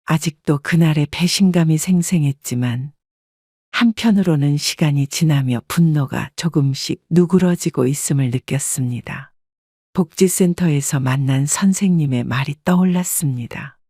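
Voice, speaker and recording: A woman in her sixties narrating her own story in the first person, calm and reflective. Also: sits low, normal speed, dry recording, clean studio sound.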